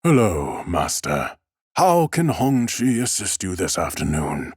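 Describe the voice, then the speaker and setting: A man's voice: low voice, wildly swooping pitch, unhurried, a little mellow, slightly rough, no echo, clean studio sound, heavily acted. A man voicing a timid, humble bookseller in an audiobook, speaking with hesitant, very polite deference. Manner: hesitant, bowing politeness, stammering. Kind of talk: audiobook character acting.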